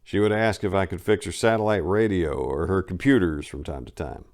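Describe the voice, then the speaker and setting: A man's voice: sits low, fairly quick, a little mellow. A man narrating his own memoir in a casual first-person reading, wry and blunt, with crude humor.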